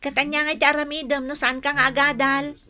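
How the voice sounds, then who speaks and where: A woman's voice: sits high, little pitch movement, brisk, sharp tone. An actress playing a weary, nagging middle-aged village mother in an Ilocano radio drama, scolding and exclaiming. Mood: scolding, dismayed.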